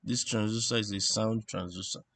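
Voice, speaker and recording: A man giving an informal technical lesson as he draws, explaining step by step in a halting way and thinking aloud. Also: lowish voice, fairly even intonation, a bit bright. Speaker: instructor presenting an electronics tutorial. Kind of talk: informal technical lesson, talking while drawing.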